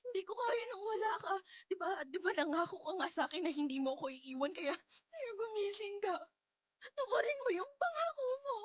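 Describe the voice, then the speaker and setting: A grief-stricken young Filipino wife in a radio drama. Her very high voice breaks with tears as she pleads and wails in repeated bursts. The sound is dry and close. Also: sing-song, fairly quick, no room sound, highs cut off. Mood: desperate sobbing. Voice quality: tearful, breaking voice. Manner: pleading, repetitive wailing.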